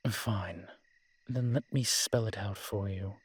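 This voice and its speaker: A man playing a world-weary, morose male vampire in a roleplay audio drama, speaking in a measured, formal, brooding manner with sighs and pauses. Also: fairly low pitch, husky, exaggerated voice. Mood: worried, grim. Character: weary old male vampire, morose realist, formerly cruel.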